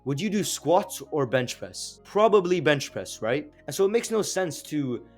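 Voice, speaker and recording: A man's voice: fairly high pitch, very animated, a little slow, a little mellow, faint music behind. A young man whose first language is Azeri, explaining language learning in a casual, chatty way with fillers and rhetorical questions. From Azerbaijan. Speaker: language teacher. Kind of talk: casual explanatory video lesson.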